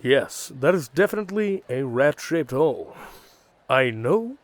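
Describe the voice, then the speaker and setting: A man's voice: medium pitch, big pitch swings, measured pace. A man playing an eager on-location news reporter in an audio drama parody. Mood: keen, curious.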